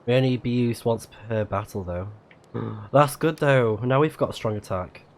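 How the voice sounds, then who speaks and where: A man's voice: mid-range voice, moderate pace, creaky. A man giving casual, chatty and reactive let's play commentary as a game streamer.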